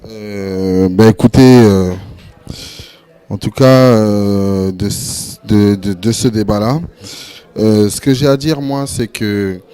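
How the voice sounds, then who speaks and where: A young man from France speaking on a discussion panel, halting and full of hesitations and repeated words. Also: lowish voice, mellow, smooth. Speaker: panel speaker, event organizer.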